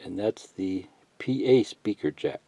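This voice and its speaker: Slow, very dark-voiced man explaining casually. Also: lowish voice, creaky. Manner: halting, thinking out loud, folksy explanations. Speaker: hobbyist instructor. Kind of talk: casual, unscripted how-to tutorial, reading bits of instructions aloud. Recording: muffled lo-fi sound, roomy echo.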